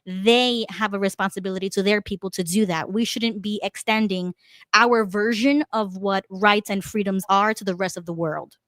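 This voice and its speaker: A woman's voice: medium pitch, moderate pace, dry recording, clean studio sound. A woman in a casual political discussion, talking animatedly with restarts and laughs. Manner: animated, laughing, repeats herself. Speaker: panelist.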